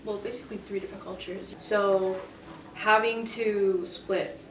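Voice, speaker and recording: A woman's voice: medium pitch, steady tone, measured pace. A young woman in a casual group discussion, talking hesitantly with many fillers. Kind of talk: casual chat. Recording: roomy, from a distance, faint noise.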